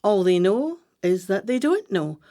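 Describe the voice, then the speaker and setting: An actress playing a brisk-minded, commanding woman plotter in a radio play, with a deliberate, declamatory delivery. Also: medium pitch, big pitch swings, warm tone. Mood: firm, emphatic.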